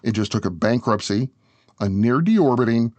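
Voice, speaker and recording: A man's voice: medium pitch, animated, moderate pace, darkish tone, no echo, highs cut off. A man narrating a scripted business documentary, talking straight to the listener in a conversational way. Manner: conversational, addresses the listener directly. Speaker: show narrator.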